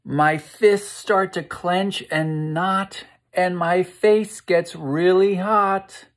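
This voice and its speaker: An American man in his fifties reading a picture book aloud to children in a slow, expressive, encouraging way, pausing to ask them questions. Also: sits high. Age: 50s. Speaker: children's read-aloud host. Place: indoor room at home.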